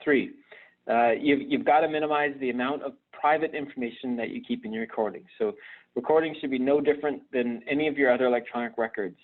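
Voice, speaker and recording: A man gives an instructional live webinar presentation, explaining things conversationally and haltingly, often hesitating and repeating words. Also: mid-range voice. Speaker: presenter at a virtual conference. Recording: narrow, phone-like online call audio.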